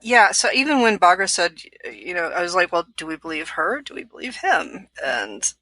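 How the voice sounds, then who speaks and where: A woman's voice: lowish voice, big pitch swings, normal speed, pushed and bright, no echo. A woman hosting a casual podcast book discussion, speaking in a hesitant, slightly apologetic way.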